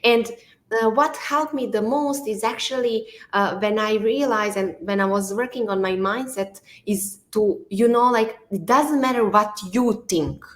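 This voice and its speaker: A woman's voice: a little high, measured pace. Bosnian-accented woman hosting a podcast. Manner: leans on "like" and "you know" fillers. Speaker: podcast host. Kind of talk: conversational interview.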